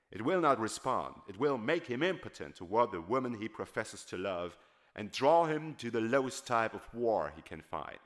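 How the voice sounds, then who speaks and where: A man's voice: lowish voice, fairly bright tone. A man giving a dramatic, scripted audiobook reading of a character's monologue in an emphatic, oratorical manner. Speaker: literary reader performing a novel character's monologue.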